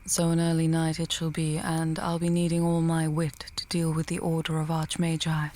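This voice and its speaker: A woman playing an earnest, scholarly female mage in a fantasy audio drama, measured and thinking aloud, dryly testy. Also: sits low, monotone, darkish tone, close to an everyday voice. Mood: calm.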